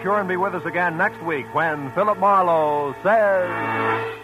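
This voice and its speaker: A male radio announcer giving the show's credits in a crisp, formal manner. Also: high-pitched, animated, measured pace, lo-fi sound. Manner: crisp, formal sign-off delivery. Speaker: show announcer. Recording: old radio broadcast with music.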